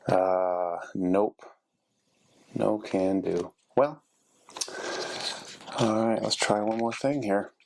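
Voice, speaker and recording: A man's voice: lowish voice, fairly even intonation, very slow, gravelly, long pauses, a little mellow, a little hiss or hum. A man casually narrating a hands-on repair, thinking aloud as he works, like a DIY video host. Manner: thinking aloud while working. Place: indoors at a carpeted workspace desk.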